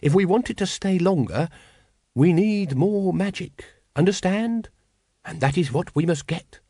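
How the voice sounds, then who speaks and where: A man's voice: high voice, lively intonation, dark tone, dry recording, studio quality, pitched above the performer's own voice, exaggerated voice. A man voicing a pompous, scheming cult leader in an audiobook, commanding and impatient, stretching words for emphasis. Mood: firm, persuasive. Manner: commanding, hissing, drawn-out emphasis. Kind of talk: audiobook character acting.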